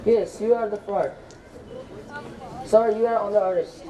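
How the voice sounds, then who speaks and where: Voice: mid-range voice, steady tone, unhurried, warm tone, close to an everyday voice. A student actor playing a stern policeman who accuses fraudsters in a school play. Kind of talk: school stage play acting. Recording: echoey noisy stage, distant mic.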